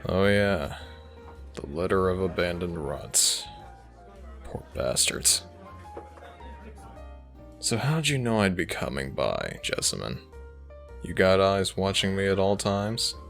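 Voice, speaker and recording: A man's voice: deep voice, big pitch swings, slow pace, gravelly, long pauses, a bit bright, faint music behind, full character voice. A man playing a cocky, flirtatious werewolf from a rival pack in an audio roleplay drama, smug and theatrical in his teasing. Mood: sly.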